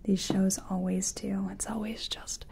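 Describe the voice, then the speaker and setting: A woman speaking in a breathy whisper and soft tones, gently chatting in an ASMR personal-attention roleplay as a makeup artist. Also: mid-range voice, little pitch movement, unhurried, slight background noise.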